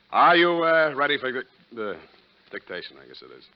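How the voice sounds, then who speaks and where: An actor playing a cocky, wordy private detective in a 1940s radio drama, deadpan and mock-pompous. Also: male voice, mid-range voice, measured pace, smooth. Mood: wry, dry. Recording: muffled vintage 1940s radio recording.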